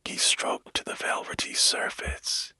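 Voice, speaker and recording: A man narrating a bedtime story in a hushed, gentle and soothing manner, taking his time. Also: takes it slowly, no room sound, whispered. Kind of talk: slow, whispered bedtime storytelling. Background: music.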